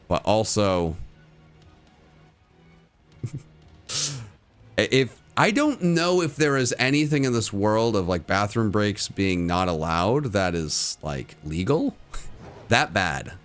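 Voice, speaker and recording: A man's voice: fairly low pitch, big pitch swings, takes it slowly, long pauses, faint music behind. A young man streaming, chatting casually with viewers, rambling through fillers and restarts with a distinct voice people call good for radio. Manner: rambling, lots of fillers and restarts, laughs often. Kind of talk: casual livestream chat with viewers.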